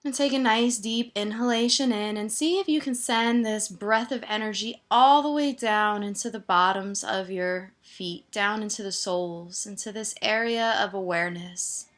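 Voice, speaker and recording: A woman meditation teacher gently guiding a body scan, soothing and leaving pauses between her instructions. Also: mid-range voice, steady tone.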